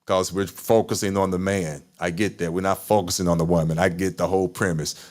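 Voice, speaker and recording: A man's voice: deep voice, little pitch movement, a little fast, a little mellow. A man hosting a casual live podcast chat, talking with a stutter and repeating his words. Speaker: podcast host.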